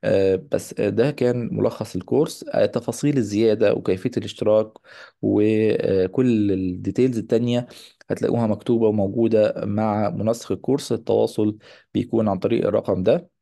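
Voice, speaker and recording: Male lecturer, Egyptian accent, quick delivery with a mellow tone, informally announcing a course with English technical terms dropped in. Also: lowish voice, steady tone, gravelly. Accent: Egyptian Arabic. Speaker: radiology lecturer. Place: voice-over on a slide presentation.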